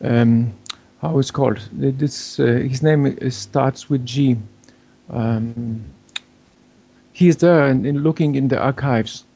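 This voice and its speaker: Gravelly man, hesitant. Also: medium pitch, takes it slowly, dark tone. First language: Polish. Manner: hesitant, searching for words. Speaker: guest blogger. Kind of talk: remote interview.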